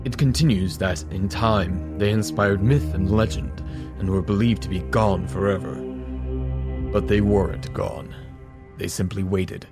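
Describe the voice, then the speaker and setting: Male actor for grave, low-voiced scholar of ancient legends, measured and erudite, audio drama. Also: fairly even intonation, over music. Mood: grave, intrigued. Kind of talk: fan audio drama acting.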